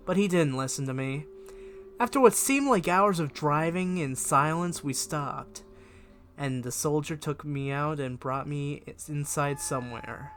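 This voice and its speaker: A man's voice: fairly high pitch, fairly bright tone. A man reading a diary-style horror story aloud as scripted narration, with expression. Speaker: fan fiction reader and video creator.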